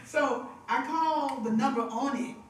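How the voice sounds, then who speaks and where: A woman's voice: high-pitched, slight background noise. A woman hosting a live devotional, chatting and telling stories with lots of laughter, using a stage mic in a music room. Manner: chatty, laughing, drawn-out storytelling. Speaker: devotional host and singer. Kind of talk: casual spiritual talk with storytelling. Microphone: stage microphone with monitor feedback. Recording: echoey room from far off.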